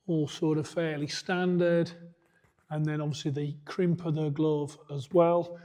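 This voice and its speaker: A man's voice: on the high side, warm tone. A man who has nearly lost his voice, a coach going through boxing gear point by point in a plain, methodical way. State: hoarse, losing his voice. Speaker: boxing coach reviewing equipment. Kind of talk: product review walkthrough.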